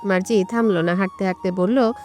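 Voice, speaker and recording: A woman narrates a romantic story in scripted audio-fiction style. Her voice sits at a middle pitch, with music playing softly behind it. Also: fairly even intonation, brisk. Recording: music bed under the voice.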